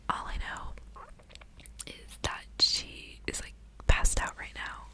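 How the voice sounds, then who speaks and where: A woman speaking in a breathy whisper, reading aloud in an ASMR style. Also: slow pace, bright, forceful tone. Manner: casual playful asides between read passages. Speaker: ASMR host.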